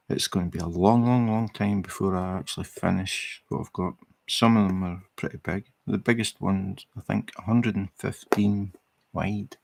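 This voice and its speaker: A middle-aged Scottish man chatting casually on a livestream while he crafts, relaxed and rambling, with many fillers and restarts. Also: low-pitched, gravelly, no room sound. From Scotland. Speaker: livestream host. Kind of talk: casual chat while crafting, reading viewer comments.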